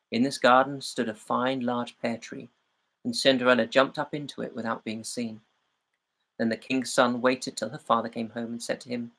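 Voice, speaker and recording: A man reading a fairy tale aloud as a storyteller, in a measured and expressive way. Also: mid-range voice, creaky, rough recording.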